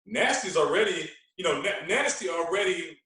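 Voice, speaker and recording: A man's voice: fairly high pitch, lively intonation, normal speed, bright, forceful tone. Guy chatting on a podcast, super echoey room, kinda far from the mic, tells stories with emphasis. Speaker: guest, veteran radio personality. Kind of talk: casual podcast storytelling.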